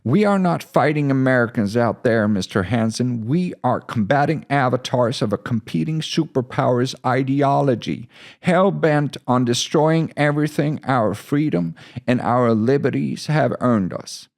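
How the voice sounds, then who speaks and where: A man's voice: medium pitch, unhurried, creaky, darkish tone, pitched above the performer's own voice. A man voicing a zealous military commander from a short story, speaking in an emphatic, oratorical way. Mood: fervent, defiant.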